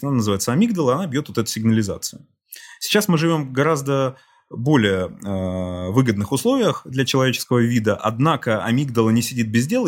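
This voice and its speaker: A man speaks as a podcast guest, with a husky, slightly breathy voice. He tells a long story, explains it step by step, and acts out the people he quotes. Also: mid-range voice. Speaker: podcast guest, creative director. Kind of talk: relaxed interview storytelling.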